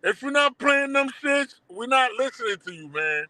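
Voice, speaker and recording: A middle-aged man with a Haitian accent, guest on a casual livestream interview, telling animated stories full of slang and swearing. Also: extremely high pitch, normal speed, dry recording. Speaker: guest, documentary producer.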